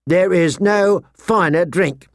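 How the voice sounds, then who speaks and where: Man putting on a high-pitched, fawning wine merchant. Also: slow, no echo, clean studio sound. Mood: fawning, flattering. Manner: florid, obsequious salesman's patter. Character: small slender male wine merchant, fawning and wheedling.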